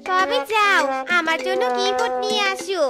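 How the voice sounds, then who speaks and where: A woman voicing a sassy, demanding young female fox in a cartoon, with a squeaky voice and a bossy, theatrical manner. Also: animated, normal speed, loud music behind the voice. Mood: coy, demanding. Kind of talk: cartoon voice acting.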